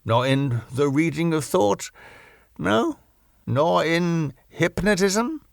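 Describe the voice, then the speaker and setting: Man playing a slow, probing old professor. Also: on the high side, sing-song, vocal fry, no echo, studio quality, voice pushed up, full character voice. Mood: probing, questioning. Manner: rhetorical questioning, halting non-native English phrasing. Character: older male foreign professor, earnest, probing, philosophical mentor. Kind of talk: audiobook character acting.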